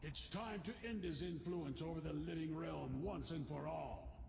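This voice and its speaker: An actor playing a proud, grim barbarian warrior in a fantasy video game, with gravelly, solemn and declamatory delivery. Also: male voice, fairly high pitch, a little slow, over a noisy crowd. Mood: resolute. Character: battle-hardened barbarian warrior man, proud, grim, eager for battle. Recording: echoey hall reverb under loud game music.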